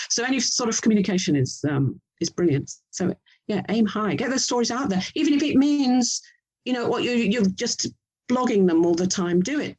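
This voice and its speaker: Woman, English accent, medium pitch, enthusiastic, effusive, conversational interview guest. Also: a little mellow, slightly rough, poor audio. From England. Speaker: author and audio drama producer, interview guest.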